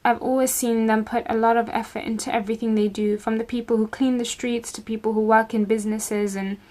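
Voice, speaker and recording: A young woman, recording a casual video account at home, talking in a measured way with frequent ums. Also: on the high side, flat delivery, dark tone. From England. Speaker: contributor recording a video report. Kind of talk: casual first-person account. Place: home room with bookshelves.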